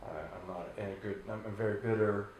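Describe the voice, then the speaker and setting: Brief: deep-voiced male rock singer, loose rambling answers, roomy echo. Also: fairly quick, a little hiss or hum. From Canada. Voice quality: very low bass voice. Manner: relaxed, rambling, many restarts and fillers. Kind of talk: casual interview answers.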